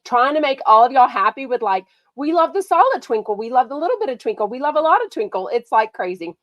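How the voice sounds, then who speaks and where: A chatty woman hosts a gossip livestream, excitable and quick to gush. A mild cold leaves her a bit nasal. Her voice sits fairly high and sounds dry and close. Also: no echo, dull audio. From Texas. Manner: bubbly and excitable, lots of "like" and "oh my gosh". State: a slight head cold, nasal. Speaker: podcast host.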